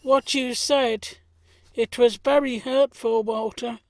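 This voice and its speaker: A woman's voice: on the high side, fairly even intonation, slow, a little hiss or hum. A woman playing a sensitive, earnest young male gardener in an audio play. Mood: hurt.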